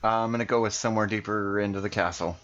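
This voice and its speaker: A woman's voice: extremely low pitch, steady tone, very fast, highs cut off. A woman playing a tabletop game, casually chatting and narrating her character's moves, on a slightly noisy track with clicks.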